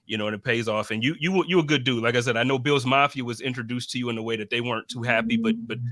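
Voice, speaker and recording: A man's voice: medium pitch, very fast, a bit bright. A man hosting a podcast, chatting in a friendly, slangy and encouraging way. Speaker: podcast host. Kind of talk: casual chat.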